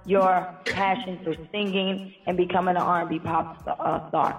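A man's voice: high-pitched, flat delivery, normal speed. An American man hosting a casual interview, encouraging and slangy, often repeating his phrases. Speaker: interview show host. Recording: dull reverberant sound, music behind.

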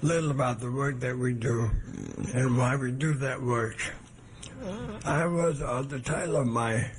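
An older man with a hoarse voice giving an earnest, measured speech to an audience, with some halting restarts. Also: medium pitch, fairly even intonation, a crowd in the background. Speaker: keynote speaker. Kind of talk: formal speech at an event. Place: meeting hall with an audience.

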